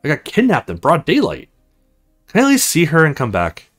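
A man's voice: on the high side, huge pitch swings, a little fast, a bit bright, soft background music, pitched above the performer's own voice, exaggerated voice. Man reading as earnest, pleading young man. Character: earnest young man stranded far from home.

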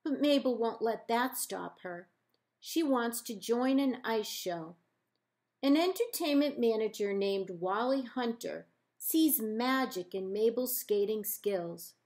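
A woman librarian reading a children's picture book aloud in measured, deliberate phrases with pauses. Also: on the high side, animated, a little mellow. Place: indoors, book held up to camera.

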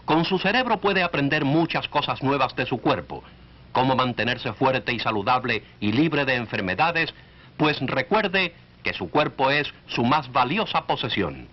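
Male narrator for a 1940s health short: instructive, lively intonation, addresses the viewer directly, muffled old film sound. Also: mid-range voice, moderate pace. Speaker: narrator of an educational cartoon. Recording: dull, band-limited 1940s film soundtrack.

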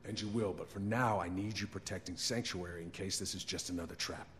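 An actor playing a steady, commanding male resistance leader in a video game, firm and earnest. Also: low-pitched, a little fast, big-room sound, a little hiss or hum.

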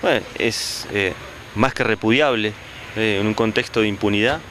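Man in his 40s, union spokesman, bright tense voice, street mic, faint noise. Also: lowish voice. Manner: denouncing, measured. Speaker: union leader. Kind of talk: press statement. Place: outdoors on a town street. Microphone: handheld reporter mic.

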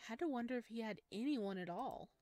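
A woman's voice: on the high side, expressive, fairly quick, darkish tone, dry recording. A woman doing a visual novel let's play, reading aloud and reacting in a chatty, playful, giggly way. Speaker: game streamer.

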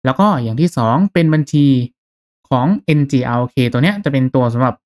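A man narrating a step-by-step software tutorial in a casual, conversational, instructional way. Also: mid-range voice, fast talker. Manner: instructional, conversational with polite particles. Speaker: tutorial host.

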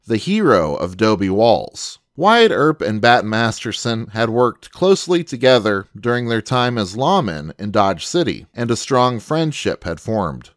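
A man's voice: lowish voice, no echo, studio quality. A man delivering scripted historical documentary narration as the narrator.

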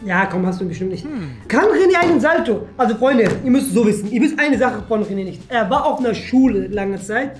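A man's voice: very high voice, lively intonation, brisk. A young man hosting a video, answering viewer questions in playful, teasing casual banter. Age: young adult. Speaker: YouTube host. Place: furnished indoor room, seated at a table. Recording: roomy echo with music underneath.

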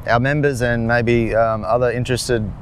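A man's voice: medium pitch, fairly even intonation, moderate pace, slight background noise. A man, asking questions in a friendly, hesitant way, recorded outdoors on a golf course. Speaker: interviewer. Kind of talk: casual interview questions.

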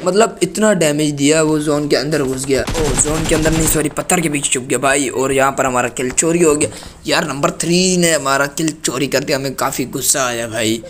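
A hoarse young man with a cold from Pakistan, giving chatty, playfully indignant commentary over his own gameplay as a gaming channel host. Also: fairly high pitch, fairly quick, a little hiss or hum.

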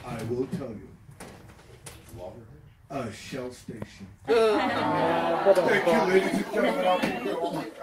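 A man's voice: on the high side, lively intonation, measured pace, pauses often, over a noisy crowd. A man giving a boat tour with corny jokes and theatrical announcements, heard through a distant camera. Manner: corny jokes, theatrical "ladies and gentlemen" delivery. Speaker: glass bottom boat tour guide. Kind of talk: joking tour guide patter. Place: open boat on a spring, with tourists. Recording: roomy, from far off, loud music behind.

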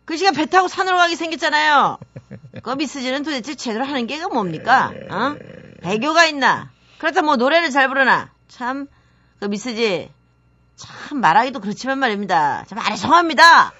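A woman's voice: high voice, very animated, moderate pace, sharp tone, dry recording, muffled recording, voice pushed up, full character voice. A woman voicing a fussy, nitpicking company boss with a nagging, sarcastic manner in a radio story. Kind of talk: dramatized radio listener story.

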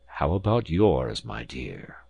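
A man voicing a huge, domineering professor husband in an audiobook, booming and sardonic. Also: big pitch swings, takes it slowly, gravelly, darkish tone, husky, dry recording, dull audio, exaggerated voice. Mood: gruff, dismissive. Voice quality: rumbling, booming. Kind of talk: audiobook character voice.

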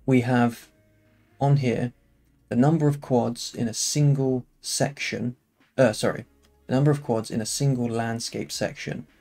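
A man with a slightly croaky voice, explaining game engine settings in a relaxed, unscripted screen-recorded tutorial at his computer. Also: mid-range voice, slow pace, long pauses, faint music behind.

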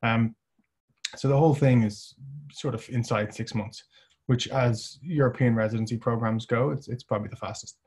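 A man's voice: mid-range voice, fairly even intonation, a little mellow, husky. Man presenting over a video call. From Ireland. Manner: measured, with many fillers and restarts. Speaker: company chief presenting at a webinar. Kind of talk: webinar presentation.

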